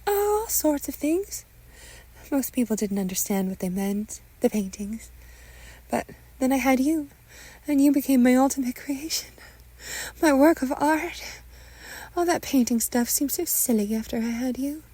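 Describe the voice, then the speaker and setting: Woman playing an anxious, smothering mother, high-pitched. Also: lively intonation, unhurried, long pauses, warm tone, breathy edge, no room sound, heavily acted. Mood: wistful, doting. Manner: doting, insistent, emotionally intense. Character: anxious, smothering, sugary mother with controlling edge. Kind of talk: dramatic script reading.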